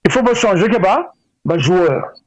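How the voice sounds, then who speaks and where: A middle-aged man with a Congolese French accent and a notably high voice. He talks as an interview guest, stopping and repeating words as he searches for the next one. The sound is dry and slightly dull, like lo-fi radio. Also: expressive, no echo. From Brazzaville, Republic of the Congo. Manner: hesitant, halting delivery with repeated words. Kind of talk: spoken interview, opinionated discussion.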